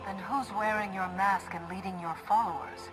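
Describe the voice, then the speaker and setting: An actress playing a composed, decisive Jedi hero in a video game cutscene. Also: female voice, mid-range voice, fairly even intonation, normal speed, over music, natural voice, poor audio.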